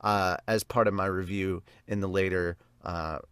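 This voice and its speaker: A man's voice: sits low, steady tone, normal speed, a bit bright, clear, resonant tone, dry recording. A man giving a casual, rambling, enthusiastic review as a podcast host. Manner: rambling, enthusiastic fan talk. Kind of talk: casual live reaction and review.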